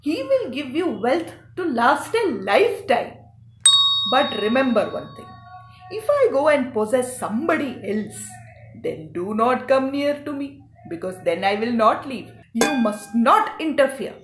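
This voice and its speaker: A woman voicing a bossy, threatening male demon in a folk tale, commanding and deliberate. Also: sits high, very animated, roomy sound, music in the background. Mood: menacing. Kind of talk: folk tale character acting.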